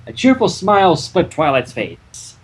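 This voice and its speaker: Husky guy reading fanfic out loud, animated, chatting in between. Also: high-pitched, unhurried. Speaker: narrator and co-host. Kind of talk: live fan fiction reading with casual banter.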